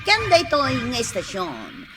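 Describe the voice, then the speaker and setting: A woman's voice: on the high side, wildly swooping pitch, a little slow, loud music behind the voice. A woman with an Ilocano accent reading scripted closing credits as a radio drama announcer.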